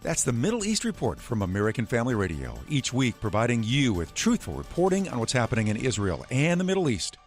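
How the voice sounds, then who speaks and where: Animated male radio host over faint music. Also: mid-range voice, fast talker, few pauses. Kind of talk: scripted radio narration.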